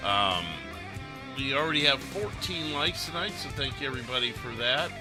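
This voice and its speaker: A man hosting a gaming podcast livestream in casual chat, often pausing mid-sentence. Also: medium pitch, expressive, music in the background.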